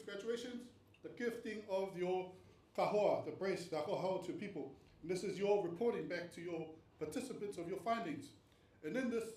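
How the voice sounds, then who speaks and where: A man's voice: high voice, darkish tone. A man who grew up in Tonga, lecturing on a panel about research stages, earnest and explanatory, often asking rhetorical questions. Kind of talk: academic panel presentation. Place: panel venue room. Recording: roomy, distant mic, faint noise.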